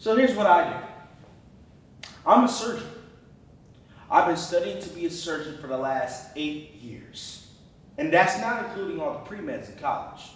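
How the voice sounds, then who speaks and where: Guy acting proud resentful young surgeon, dramatic monologue, slowish, echoey and far from mic. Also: on the high side, animated. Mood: boastful pride. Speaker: acting student. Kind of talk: theatrical acted monologue. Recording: very echoey room, distant microphone.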